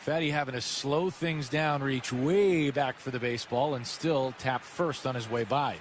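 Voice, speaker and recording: A man's voice: mid-range voice, measured pace. A man giving live color commentary as a baseball radio analyst in a stadium booth. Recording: clear ambient noise behind voice.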